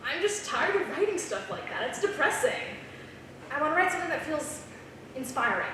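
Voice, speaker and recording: An eager, touchy college student, played by a woman at a live script reading. Her voice is fairly high and highly animated, heard in a cavernous echo. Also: fairly quick. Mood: earnest. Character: eager, defensive female college student writer. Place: small theater, live stage reading. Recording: echoey theater, distant mic, clear noise.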